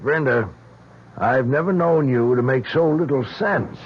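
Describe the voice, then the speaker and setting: An actor playing a concerned, plain-spoken small-town sheriff, friendly and a little hesitant, in a 1970s radio drama. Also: male voice, mid-range voice, measured pace, natural voice. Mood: puzzled. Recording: muffled, hissy old radio broadcast.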